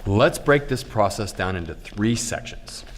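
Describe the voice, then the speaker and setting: A man's voice: fairly low pitch, expressive, unhurried, recorded from a distance, music in the background. A man with a Midwestern American accent co-hosting a theater show, delivering scripted lines in an upbeat way. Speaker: co-host.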